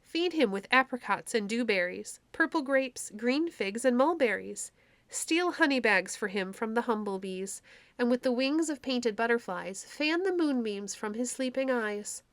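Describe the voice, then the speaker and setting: A woman's voice: on the high side, expressive, a little slow, fairly bright tone, no room sound, voice pushed up. A woman voicing a tender, gushing fairy queen in a storybook reading, sweetly imperious as she dotes and commands. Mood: gently commanding.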